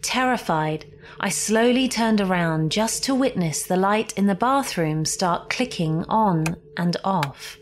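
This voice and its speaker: A woman reading a scripted ghost story as a horror podcast narrator, theatrical and suspenseful, with deliberate emphasis. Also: medium pitch, expressive, a little hiss or hum. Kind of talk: scripted dramatic spooky narration. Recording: music behind the voice.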